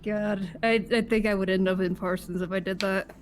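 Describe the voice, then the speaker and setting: A woman's voice: mid-range voice, fairly even intonation, fairly quick, a little hiss or hum, natural voice. Woman playing a matter-of-fact young food worker. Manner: casual, matter-of-fact, frequent "like". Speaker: player in an online role-play game. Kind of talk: casual improvised in-game chat.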